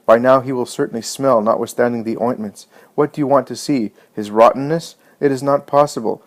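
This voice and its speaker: A man's voice: medium pitch, fairly even intonation, brisk, close to an everyday voice. A man reading a practical, grieving woman in a story, holding back tears as she respectfully protests. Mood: distressed protest. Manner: tearfully restrained, protesting. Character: distressed, practical grieving sister objecting respectfully.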